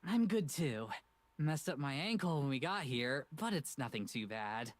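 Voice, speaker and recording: Visual novel role: tough, joking young woman, low register, dry studio sound, easygoing delivery. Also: very animated, unhurried, dry recording, full character voice. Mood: breezy.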